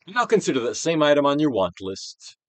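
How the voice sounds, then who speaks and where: Theatrical man reading with sweeping, animated pitch. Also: brisk, no room sound. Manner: theatrical, emphatic, with dramatic swells and hushed drops. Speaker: actor reading a self-help book aloud. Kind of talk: theatrical dramatic reading of scripted instructional text.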